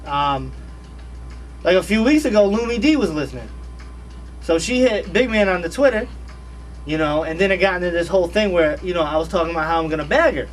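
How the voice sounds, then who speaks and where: A man's voice: high voice, lively intonation, a little fast, distant mic, soft background music, low-quality recording. A man in his thirties, a guest on a radio show, talking in a laid-back, slangy way and repeating his phrases. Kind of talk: casual radio interview chat. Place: radio studio.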